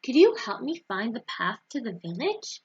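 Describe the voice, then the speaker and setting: A woman's voice: on the high side, very animated, normal speed, husky, muffled recording, full character voice. A woman voicing a polite, earnest, forgetful little boy in a children's storybook.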